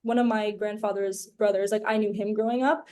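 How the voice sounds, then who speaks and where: A woman's voice: mid-range voice, steady tone, fairly quick, warm tone. Chatty young woman, podcast guest. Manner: chatty and rambling, leans heavily on "like" and "um". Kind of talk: casual conversational interview.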